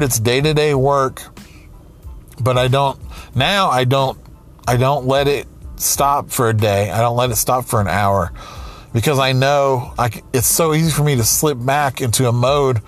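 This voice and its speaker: Slow, halting man around forty. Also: medium pitch. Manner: slow, halting, with many restarts and pauses. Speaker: aspiring writer, comedian and podcaster. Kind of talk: reflective personal monologue.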